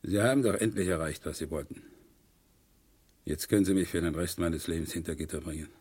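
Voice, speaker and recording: A man's voice: low-pitched, a little fast, darkish tone, husky. An actor in a 1970s radio crime play voicing a weary, broken man who confesses with bitter, resigned repetition. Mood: bitter, defeated. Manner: resigned, repeats himself bitterly.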